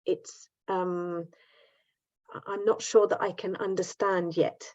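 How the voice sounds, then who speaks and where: A woman's voice: lowish voice, level tone, slow, darkish tone. Woman thinking aloud on a muffled call. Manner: thinking aloud, many fillers and restarts, laughs easily. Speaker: workshop participant. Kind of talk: online group discussion. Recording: dull lo-fi call audio.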